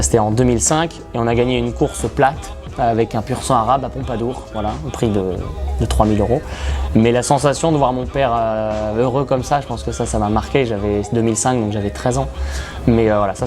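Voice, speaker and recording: A man in his thirties from France speaks in an earnest, hesitant way, pausing often as he gathers his thoughts. His voice sits in an ordinary middle pitch. Faint music plays quietly behind him. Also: steady tone. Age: 30s. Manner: earnest, self-described shy, frequent hesitations. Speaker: horse racing commentator. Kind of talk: interview answers.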